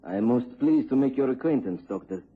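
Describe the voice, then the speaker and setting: A courteous, earnest Central European gentleman in a vintage radio play. His voice is mid-pitched and somewhat husky, captured on a thin, tinny recording. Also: lively intonation. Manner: formal, deliberate wording. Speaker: actor playing foreign visitor in radio drama. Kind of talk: BBC radio drama acting.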